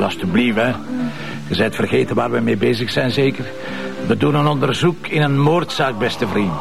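A middle-aged Flemish police commissioner in a television crime drama. He is blunt, sarcastic and short-tempered, with a gravelly edge and lively, swinging intonation. The soundtrack has music behind the voice. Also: medium pitch. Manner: blunt, colloquial, hectoring. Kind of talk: naturalistic TV crime drama acting.

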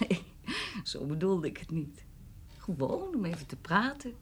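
Husky, kindly neighbour woman. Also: fairly low pitch, big pitch swings, a little slow, exaggerated voice. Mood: kind, reassuring. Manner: gentle, informal, supportive. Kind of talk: radio drama acting.

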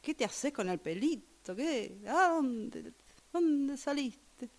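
Radio play: actress as brooding, judgmental female commuter, Rioplatense accent, high register, unhurried dramatic musing. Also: lively intonation, full character voice. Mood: catty, exasperated. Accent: Rioplatense Spanish. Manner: dramatic, slow, musing monologue. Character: judgmental woman waiting for a train, brooding over passers-by. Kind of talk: radio drama monologue workshop exercise.

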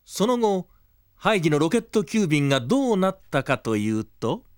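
An actor playing the narrator of a comic audio drama, delivering scripted storytelling. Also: male voice, on the high side, big pitch swings, moderate pace, clean tone. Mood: wry, storytelling. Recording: studio drama with music behind.

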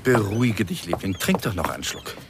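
Worried, coaxing male lover, lively intonation. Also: on the low side, noisy background. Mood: soothing. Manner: hesitant, measured, coaxing. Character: worried, placating male lover, gently reasoning. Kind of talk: radio drama dialogue.

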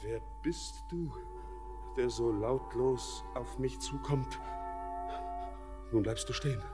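An actor playing a restless, haunted young miner in darkness, in a theatrical poetic radio drama over eerie music. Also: male voice, mid-range voice, slow pace, close to an everyday voice. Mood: awed, fearful. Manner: theatrical, urgent calls and awed murmurs.

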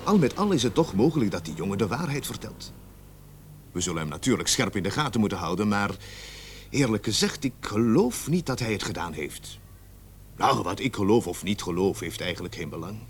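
A man's voice: lowish voice, expressive, a little fast, slight background noise. A middle-aged man acting in a radio play as a seasoned, level-headed police sergeant who reasons with patient authority. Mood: reasoning, patient.

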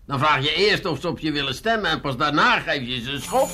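A man's voice: a little high, expressive, fast, bright, forceful tone. A man playing a cynical, opinionated family member in a comic radio play, disdainful and lecturing.

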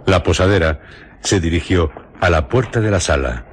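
A man narrating a radio drama in a measured, suspenseful storytelling manner. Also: low voice, lively intonation, noisy background.

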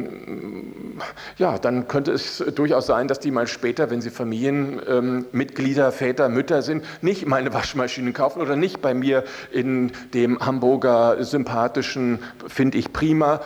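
Halting man giving an interview. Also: medium pitch, darkish tone. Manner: halting, searching for words. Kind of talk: spontaneous spoken opinion in interview.

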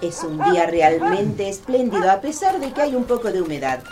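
A woman's voice: mid-range voice, big pitch swings, fairly quick, music in the background, close to an everyday voice. A woman playing an eager radio field reporter in a radio play. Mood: bright, eager. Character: chirpy female radio field reporter in 1810.

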